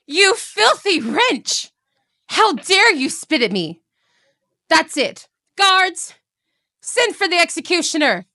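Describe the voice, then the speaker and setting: A woman's voice: very high-pitched, sing-song, takes it slowly, pushed and bright, no echo, clean studio sound, exaggerated voice. A woman playing a cruel, jealous evil queen and stepmother in a fantasy audio roleplay, with an imperious, menacing, theatrical delivery. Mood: outraged.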